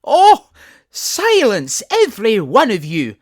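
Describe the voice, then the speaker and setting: A proud, impatient tiger lily flower, heard as a storyteller puts it on in a high, loud, slow voice that swoops widely. It scolds and lectures, every word stretched out. A storybook reading. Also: wildly swooping pitch. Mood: indignant.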